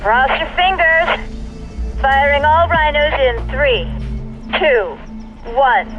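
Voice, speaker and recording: High-voiced, precise military scientist. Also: female voice, slow pace, lo-fi sound. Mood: concentrated. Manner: precise, businesslike, technical. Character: composed, clever female scientist on a military mission. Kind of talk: video game dialogue. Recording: music behind the voice.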